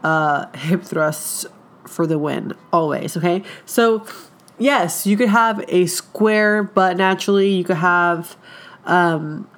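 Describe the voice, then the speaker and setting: Stuffed up young woman coach rambling on her podcast, kinda low voice, lots of likes and ums. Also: takes it slowly. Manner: chatty, lots of filler words. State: stuffed up, nasal from a cold. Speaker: wellness coach. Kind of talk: casual podcast talk.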